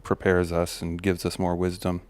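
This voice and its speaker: Man, low steady voice, smooth with slight gravel, reflective host talking, dry close sound. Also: normal speed. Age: adult parent of a daughter. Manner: relaxed, musing, many fillers and restarts. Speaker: podcast host. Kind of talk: reflective personal monologue.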